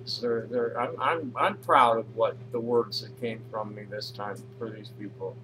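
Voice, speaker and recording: A man's voice: lowish voice, normal speed, bright, forceful tone, a little hiss or hum, rough recording. A man casually reading posts aloud and commenting on them, rambling with frequent restarts and fillers. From North America. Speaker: reader of social media posts.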